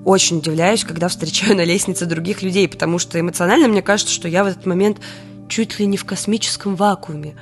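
A woman's voice: on the low side, steady tone, fast, faint music behind, lightly acted. An actress playing a weary, wry woman living through wartime blackouts, in an intimate audio drama diary monologue. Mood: wry, reflective.

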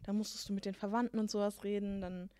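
A woman's voice: medium pitch, little pitch movement, fast, mellow, no room sound. Young woman chatting casually. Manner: casual, filler-heavy, talks with gestures. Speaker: podcast co-host. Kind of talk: casual chat.

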